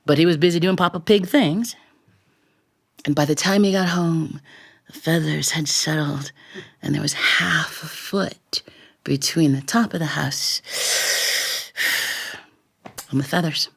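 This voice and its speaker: A woman telling a story live as a storyteller, with a theatrical, expressive delivery. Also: low-pitched, a little slow, gravelly, long pauses, breathy edge. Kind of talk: live storytelling performance for an audience.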